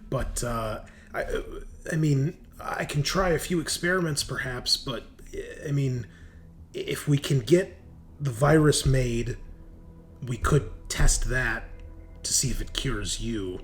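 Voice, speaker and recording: A man's voice: mid-range voice, natural voice. A man playing a flustered, apologetic doctor who stammers and hedges in a tabletop game. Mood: hesitant, apologetic. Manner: halting, stammering, hedging. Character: flustered male doctor, hesitant, apologetic, bluntly practical. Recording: clearly noisy background.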